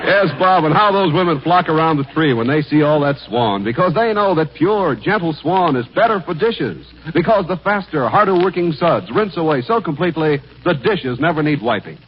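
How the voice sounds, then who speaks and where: A man announcing on 1940s radio, delivering an emphatic, polished commercial pitch. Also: lively intonation, normal speed, few pauses. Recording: noisy, dull vintage 1940s radio recording.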